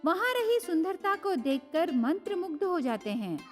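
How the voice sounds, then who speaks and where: A woman's voice: very high-pitched, big pitch swings, moderate pace. A woman narrating a scripted moral story for children. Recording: long reverb with faint music.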